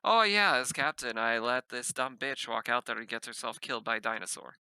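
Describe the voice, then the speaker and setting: Very bright, forceful male tabletop player. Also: medium pitch, lively intonation, a little fast, clear, resonant tone, no room sound. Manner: blunt, sweary. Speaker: player in a tabletop roleplaying game. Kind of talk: casual game chat, partly in character.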